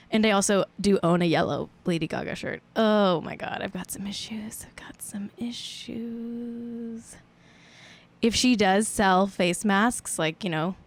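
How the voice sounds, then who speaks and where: A woman in her late twenties hosting a live stream show, chatting in a rambling, playful, self-mocking way. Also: mid-range voice. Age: 20s. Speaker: show host. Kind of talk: casual livestream chat.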